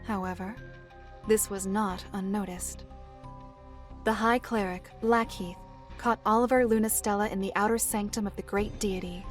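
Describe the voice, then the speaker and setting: Woman as a solemn dark fantasy storyteller. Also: medium pitch, fairly even intonation, soft background music, lightly acted. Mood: grave. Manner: formal, measured, solemn. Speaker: narrator. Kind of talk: scripted dark fantasy narration.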